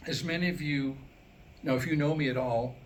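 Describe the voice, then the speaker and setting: A man with a slightly high voice performs a scripted humorous talk in a live room. He tells his jokes like little stories, pausing deliberately. There is faint noise in the recording. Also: fairly even intonation. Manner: storytelling, deliberate pauses, acting out dialogue in jokes. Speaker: humorist and author. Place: indoor room, live staged reading.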